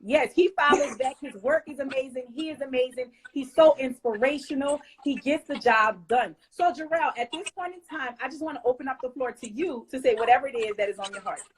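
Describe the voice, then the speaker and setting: High-voiced upbeat woman hosting a talk show. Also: brisk, few pauses, husky, low-quality recording. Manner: upbeat, affirming, addresses audience as "y'all". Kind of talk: encouraging interview hosting.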